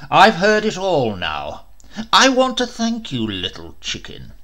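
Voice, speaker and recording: A man's voice: huge pitch swings, measured pace, pushed and bright, exaggerated voice. A man reading a comic storybook and voicing a jovial, mocking knight prince who teases a lying bird. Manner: booming, teasing.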